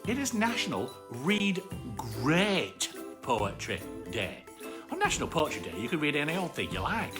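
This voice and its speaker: A woman voicing a cheerful, excitable male armadillo who hosts children's bedtime stories, effusive and playful, with a British accent. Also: deep voice, moderate pace, music in the background. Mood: excited, welcoming. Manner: effusive, repeats words, playful. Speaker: bedtime story host. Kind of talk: live children's storytime chat.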